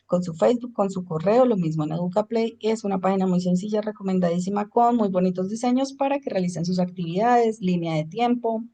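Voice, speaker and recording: A woman's voice: mid-range voice, fairly quick, few pauses, mellow. Woman teaching an English class. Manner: explains in Spanish, switches to English for examples. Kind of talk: online class lecture.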